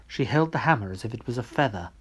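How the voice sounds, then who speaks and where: A man's voice: mid-range voice, animated, fast talker, slightly rough. Man as a smug, wily trickster fox. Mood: smug recounting. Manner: smug storytelling. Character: wily, boastful male trickster fox god.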